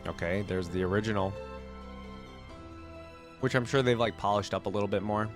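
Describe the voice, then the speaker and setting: A man's voice: on the low side, normal speed. A man with a stuffy, congested voice from a cold, giving casual, rambling reaction commentary as a video host, thinking aloud as he goes. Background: game theme music.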